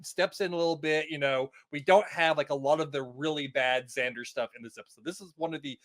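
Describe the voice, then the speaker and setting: A man with a sharp, forward brightness and a somewhat high pitch. He talks casually, like a guest on a podcast chatting through a show. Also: a little fast. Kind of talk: casual chat, TV episode discussion.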